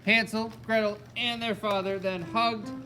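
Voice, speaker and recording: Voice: mid-range voice, flat delivery, unhurried, pushed and bright, faint music behind, rough recording. A narrator telling a fairy tale in a storytelling style for a children's puppet show.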